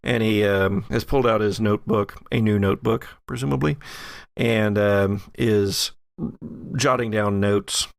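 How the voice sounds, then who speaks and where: A man narrating a character's actions in an improvised tabletop game, descriptive and halting. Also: lowish voice, fairly even intonation, creaky, no room sound.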